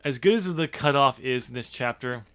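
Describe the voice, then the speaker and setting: A man's voice: mid-range voice, normal speed, a bit bright, smooth. A man hosting a casual podcast, talking hesitantly about his writing and restarting his sentences. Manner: hesitant, trails off and restarts, laughs often. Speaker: author and podcast host.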